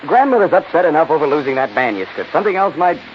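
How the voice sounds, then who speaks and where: An actor playing a defensive young husband in a 1950s radio drama, curt and testy. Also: male voice, fairly high pitch, very animated, fast. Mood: defensive, firm. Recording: muffled 1950s radio recording, faint hiss.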